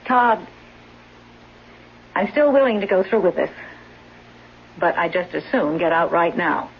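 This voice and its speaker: An actress playing a blunt, impatient, sarcastic blonde caregiver in an old-time radio drama. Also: female voice, on the low side, lively intonation, a little slow. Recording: muffled, hissy, humming old radio recording.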